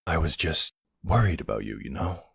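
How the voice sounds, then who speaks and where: A man playing a gentle, worried boyfriend in an intimate roleplay audio drama, soothing and reassuring with a hesitant delivery. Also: sing-song, normal speed, no room sound, studio quality, full character voice. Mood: caring, reassuring. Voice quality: low, husky voice.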